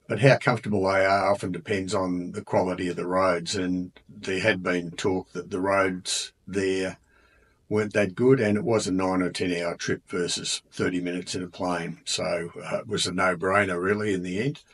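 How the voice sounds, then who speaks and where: A man with an Australian accent talking casually about a trip, relaxed and chatty with many ums and uhs. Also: sits low, normal speed, husky. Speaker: travel vlogger. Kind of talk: casual travel narration.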